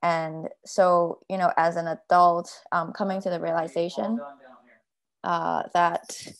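A woman from Hong Kong speaks in an online panel as a past workshop participant. Her voice is on the low side, and she talks in a measured, reflective way, pausing often with filler sounds. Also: little pitch movement, gravelly. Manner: thoughtful, many ums.

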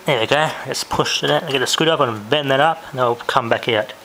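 A man's voice: medium pitch, fast. A man giving casual, off-the-cuff commentary while doing hands-on repair work, muttering to himself as he goes. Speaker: DIY vlogger. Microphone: handheld camera's built-in mic. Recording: noisy handheld camera audio with clicks.

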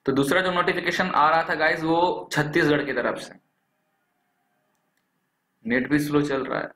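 A man's voice: on the high side, measured pace, bright, forceful tone. Indian man with a cold, echoey room. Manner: chatty, addresses viewers as friends. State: has a cold, coughing and throat clearing. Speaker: job alert video host. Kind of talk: casual explainer over screen recording.

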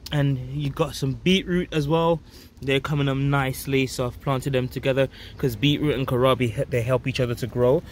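A man's voice: mid-range voice, fairly even intonation, normal speed. A man in his thirties giving a casual, enthusiastic update to viewers, speaking emphatically into a handheld phone outdoors. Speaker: vlog host, allotment gardener. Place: outdoors on an allotment garden. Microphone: handheld phone at close range. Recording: outdoor ambient and traffic noise. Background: outdoor ambience, vehicle noise.

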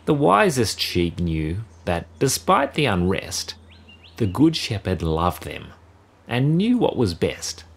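A man narrating a rhyming children's story in an expressive, measured storytelling style. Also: fairly low pitch, gravelly, slightly rough. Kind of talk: scripted rhyming children's story read-aloud.

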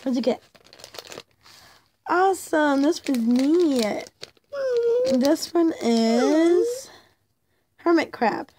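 A woman's voice: sits high, lively intonation, extremely slow, pauses often, noisy background. A woman with a cold, chatting upbeat as she opens toy figure packs and names each one. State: has a cold, losing her voice. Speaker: toy unboxing vlogger. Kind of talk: casual unboxing chat. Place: indoors, toys on a pink blanket. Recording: loud plastic crinkling close by.

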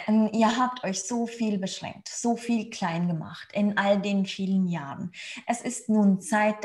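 A middle-aged woman delivering an improvised spiritual message to a group, solemn and intimate, halting often with hums and repeated words. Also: medium pitch, darkish tone. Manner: halting, with frequent hums and word repetitions between phrases. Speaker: spiritual channeler. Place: indoors, small room on a sofa.

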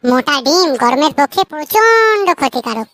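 A performer voicing a cheeky young nephew in a cartoon comedy, shrill and childlike, glib and theatrically mock-earnest. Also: big pitch swings, normal speed, background noise. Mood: mock-earnest advising. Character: cheeky young nephew teasing his old uncle.

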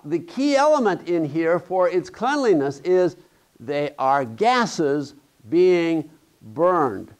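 A middle-aged man giving a conference keynote in a large lecture hall, explaining slides in a halting way with fillers and restarts, checking in with the audience. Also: high-pitched. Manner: halting, many fillers and restarts, tag questions. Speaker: keynote speaker.

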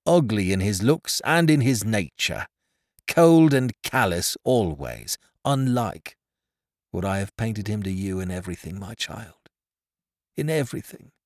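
A man voicing a remorseful old father who confesses in slow, heavy words. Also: fairly low pitch, animated, no room sound, clean studio sound.

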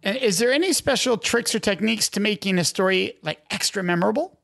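A podcast host asks his guest follow-up questions out of curiosity. He is a man with a high voice and a gravelly, creaky edge to it. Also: animated, fairly quick, fairly bright tone. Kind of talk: interview questions.

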